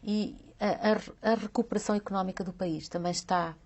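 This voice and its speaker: Woman interviewer, mid pitch; probing, halting question style. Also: fairly even intonation, a little mellow. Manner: probing, restarts questions with hesitant repeats.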